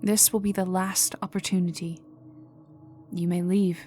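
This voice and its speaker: A woman playing a cold, vengeful aristocratic vampire in a dark ASMR roleplay, speaking formally and menacingly and often sinking to a whisper. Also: fairly low pitch, flat delivery, a little slow, soft background music, lightly acted. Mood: stern, guarded. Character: cold vengeful aristocratic female vampire, young heiress in mourning.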